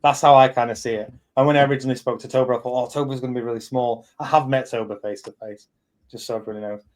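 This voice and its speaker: A man's voice: medium pitch, steady tone, rapid-fire. A man in his thirties, hosting a live community chat as a company head, talking bluntly and rambling with lots of fillers and swearing. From England. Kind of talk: casual chat.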